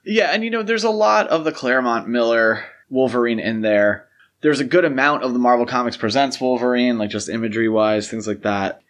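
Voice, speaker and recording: A male podcast co-host talks through films in a casual, explanatory manner. His voice sits at an average pitch, and his phrasing is loose and conversational, peppered with filler words. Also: a little fast, a bit bright. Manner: conversational, explanatory, uses "like" and "you know" often. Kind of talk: casual film discussion podcast.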